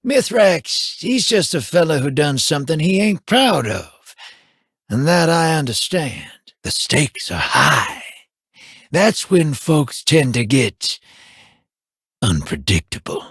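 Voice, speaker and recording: A drawling frontier type. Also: male voice, a little high, sing-song, slow pace, fairly bright tone, slightly rough, dry recording, clean studio sound, full character voice. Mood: easygoing. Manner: folksy, drawling. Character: folksy drawling frontier man, easygoing.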